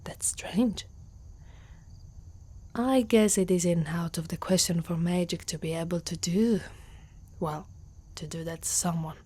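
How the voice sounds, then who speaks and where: Woman voicing a slow, breathy, proud centaur girl, Italian accent. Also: lowish voice, darkish tone. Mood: concerned, protective. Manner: haughty, slow, often dropping into whispers. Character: proud, guarded young female centaur warrior, gruffly protective. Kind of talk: ASMR roleplay.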